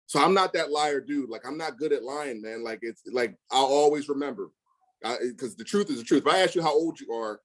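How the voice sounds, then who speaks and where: Man, casual conversational storyteller, blunt, emphatic, slangy, quotes past exchanges, mid-pitched voice. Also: fairly quick. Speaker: real estate investor.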